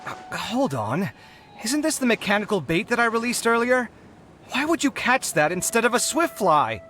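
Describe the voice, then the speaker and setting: A man's voice: very high voice, big pitch swings, normal speed, sharp tone, soft background music, heavily acted. A man voicing an earnest, refined young architect with an articulate, polite manner in a video game. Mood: surprised. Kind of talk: animated video game voice acting.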